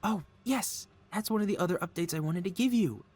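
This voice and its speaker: A man's voice: high-pitched, fairly quick, darkish tone, husky. A man playing a gleeful, lovesick supervillain mad scientist in an audio roleplay, melodramatic and wheedling with an air of feigned innocence. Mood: gleeful, doting. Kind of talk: ASMR audio roleplay, scripted character acting.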